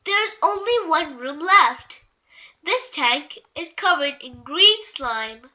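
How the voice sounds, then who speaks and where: Slow, high-pitched woman reading storybooks. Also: animated, pushed and bright. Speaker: storybook reader. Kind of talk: children's read-aloud narration.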